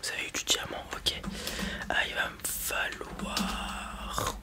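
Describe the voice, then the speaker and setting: A man playing a blunt, casual space robot merchant in a whispered ASMR roleplay, muttering hesitantly in a breathy voice. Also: slow pace, natural voice. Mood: casual, matter-of-fact. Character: gruff, casual male space robot merchant, wary but kind.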